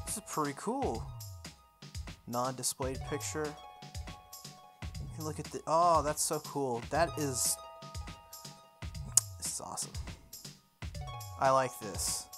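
A game playthrough host reading on-screen text aloud and commenting casually, reacting out loud with exclamations and questions. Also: medium pitch. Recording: music behind the voice. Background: game and anime music.

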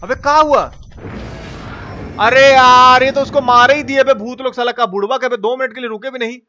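A man's voice: extremely high pitch, fairly even intonation, normal speed, bright, forceful tone. A man doing casual, slangy live game commentary, grumbling at the game and chatting with viewers. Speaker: game streamer. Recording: noisy, game sound underneath. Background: video game sound effects.

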